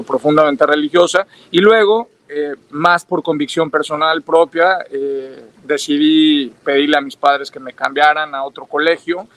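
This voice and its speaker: Mexican guy in an interview, lots of eh and restarts, a bit high pitched. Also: a bit bright. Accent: Mexican Spanish. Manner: hesitant, many fillers and restarts. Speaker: interview guest. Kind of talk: reflective interview answers.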